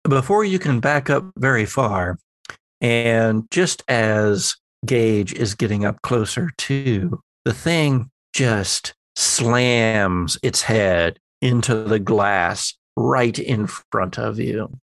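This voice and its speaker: A man narrating an improvised horror tabletop game as game master, deliberate and descriptive with halting pauses. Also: fairly low pitch, a bit bright, dry recording.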